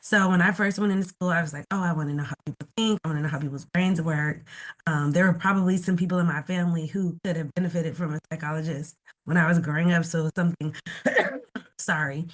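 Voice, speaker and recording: A woman's voice: lowish voice, fairly even intonation, quick pace. A woman in her thirties from Los Angeles, getting over a cold, giving a casual, explanatory webinar talk full of "like" fillers. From Los Angeles, California. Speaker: guest speaker. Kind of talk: webinar presentation.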